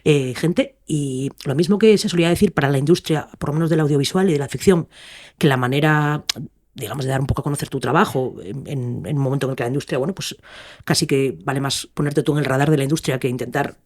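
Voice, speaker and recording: Man, podcast host, mid-pitched, fast, conversational interviewer. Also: a little mellow.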